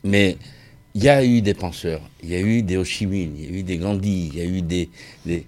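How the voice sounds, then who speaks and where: The deep-ish voiced middle-aged man at a public debate who takes the floor and presses his point haltingly. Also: a little mellow. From Vietnamese origin. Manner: halting, repeats phrases, insistent. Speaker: discussion participant, former craftsman and sound technician. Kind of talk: impassioned opinion in a group discussion.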